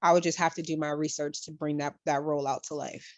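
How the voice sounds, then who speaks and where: A woman's voice: deep voice, level tone, fast, no echo. A woman speaking casually as a guest actor in a panel chat, on a dull lo-fi recording. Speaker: stage actor, interview guest.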